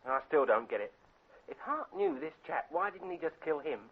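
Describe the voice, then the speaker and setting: An eager young detective's assistant in a radio play. He questions quickly and excitably in a creaky, very animated voice. The recording is band-limited vintage radio. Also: fairly high pitch, phone-quality sound, full character voice. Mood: curious, puzzled. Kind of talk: classic British radio drama acting.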